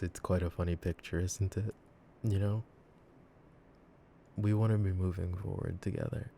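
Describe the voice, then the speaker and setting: A man acting a devoted, affectionate boyfriend in an intimate roleplay ASMR monologue, with a rambling, halting, tender way of talking. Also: low-pitched, gravelly, dark tone, a little hiss or hum. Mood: apologetic, amused. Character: devoted, silly, affectionate boyfriend, earnest and reassuring. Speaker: voice actor in an audio roleplay.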